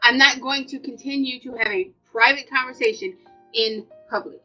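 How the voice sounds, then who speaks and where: American female vlog co-host, bright forceful voice, emphatic and opinionated, conversational delivery over faint music. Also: sits high, moderate pace. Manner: emphatic, repeats points for stress. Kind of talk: casual opinionated chat. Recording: dull lo-fi audio, music underneath.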